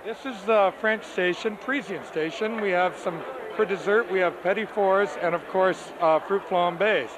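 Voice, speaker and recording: A man working as a chef, talking in a relaxed, casual way as he lists the dishes at a banquet. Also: sits high. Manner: relaxed, listing items. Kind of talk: casual chat, describing dishes. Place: indoor banquet hall at a party. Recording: noisy camcorder audio at a party.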